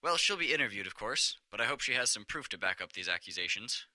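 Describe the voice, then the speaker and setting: An actor playing a callous district office boss in an audio drama, officious, curt and condescending. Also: male voice, on the low side, big pitch swings, fast, pushed and bright, dry recording, full character voice. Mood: stern, dismissive.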